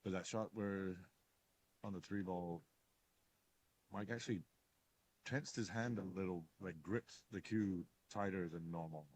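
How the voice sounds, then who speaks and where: Deep-voiced chatty pool commentator man. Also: a little slow, pauses often, darkish tone, husky. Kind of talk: casual live-stream commentary. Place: bar near a pool table. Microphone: headset mic with headphones.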